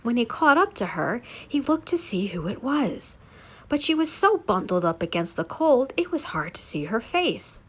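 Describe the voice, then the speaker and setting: A woman reading spooky folk tales aloud as a story time reader, with dramatic, deliberate delivery. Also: a little high, sing-song, fairly bright tone, slightly rough.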